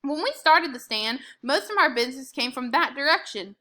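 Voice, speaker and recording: A woman's voice: sits high, lively intonation, moderate pace, sharp tone. A woman reading the part of an enterprising young boy in a storybook, eager and a bit sarcastic. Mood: puzzled, pressing.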